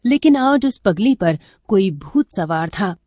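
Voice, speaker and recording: Slow, mellow woman storyteller. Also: mid-range voice, animated, no echo. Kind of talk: scripted dramatic story narration.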